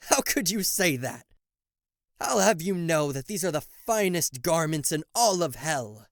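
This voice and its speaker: A man's voice: fairly high pitch, big pitch swings, unhurried, no room sound, studio quality. A man playing a cocky, easily flustered king of hell in an ASMR roleplay, sarcastic, indignant and theatrical, speaking to the listener. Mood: offended, pompous.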